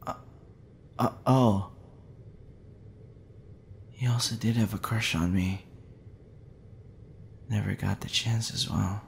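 Man acting a tipsy lovestruck young guy, very slow. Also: lowish voice, fairly even intonation, slight background noise, pitched below the performer's own voice. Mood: wistful, tender. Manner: hesitant, halting, breathy murmuring. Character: tipsy, smitten young man, shy and tender crush. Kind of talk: intimate ASMR romantic roleplay.

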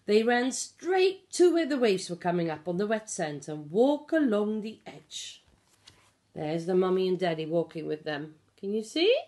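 A middle-aged woman reading a picture book aloud to young children, explaining and asking simple questions in an expressive way. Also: medium pitch, a little slow. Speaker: pre-school storyteller. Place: small living room at home. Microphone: phone or tablet at about arm's length.

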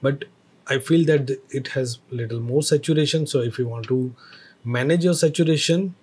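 A man teaching a screen-recorded software tutorial, explaining each step with hesitant phrasing and fillers. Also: medium pitch, moderate pace, slightly rough.

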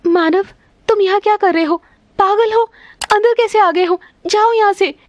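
A woman's voice: very high-pitched, normal speed, no echo, voice pushed up. A woman voicing a distressed young serpent's daughter in a cartoon story, pleading and plaintive. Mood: upset, pushing away.

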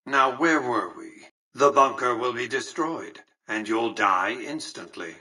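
An imperious male tycoon from a video game, condescending and exact in every phrase. The voice is crisp-edged and forceful yet a little raspy, heard slightly back from the microphone. He carries himself with pompous, coolly menacing authority. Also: mid-range voice, lively intonation, a little slow, distant mic, exaggerated voice. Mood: coldly threatening. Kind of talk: scripted video game dialogue.

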